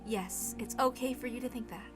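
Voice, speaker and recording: A woman voicing a resigned, dispassionate woman in a story reading, speaking in a measured, calm way. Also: on the high side, music in the background, close to an everyday voice. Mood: composed.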